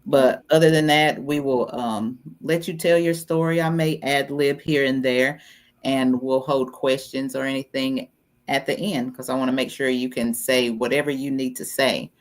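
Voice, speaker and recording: A woman hosting an online talk show, calmly welcoming her guest and explaining how the session will run. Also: rumbling low, moderate pace. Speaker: host.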